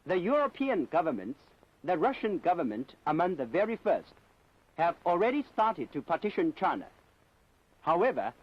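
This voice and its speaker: A man narrating a scripted propaganda documentary in a solemn, emphatic, declamatory manner. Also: on the high side. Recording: dull 1960s film soundtrack.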